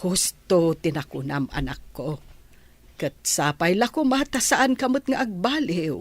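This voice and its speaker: A woman's voice: lowish voice, a little slow, no echo. A woman with an Ilocano accent playing a firm, moralizing older woman in a radio drama. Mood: tender, advising.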